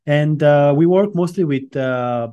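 A man's voice: fairly high pitch, measured pace, mellow, clear, resonant tone, muffled recording. A man who grew up in Italy, a guest on a podcast interview, talking loosely and conversationally, often restarting phrases as he thinks, with a dry self-deprecating humor. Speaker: podcast guest, branding strategist. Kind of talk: casual interview answers.

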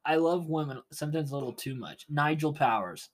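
A man's voice: a little high, animated, fairly quick. A young man co-hosting a casual podcast, stuffy-nosed from allergies, laughing and teasing often. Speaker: podcast co-host.

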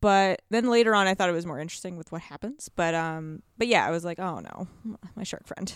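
Animated woman with a creaky voice. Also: lowish voice, fairly quick, dry recording, studio quality. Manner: animated, rambling, frequent "like". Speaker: podcast guest discussing a video game. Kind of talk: casual chat.

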